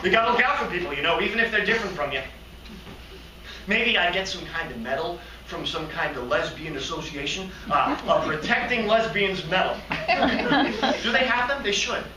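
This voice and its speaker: A man playing an odd, naively earnest hotel receptionist who rambles and daydreams in a halting, excitable comic stage monologue. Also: high-pitched. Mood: rambling, earnest. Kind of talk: theatrical comic monologue performance. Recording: roomy, distant mic, faint noise.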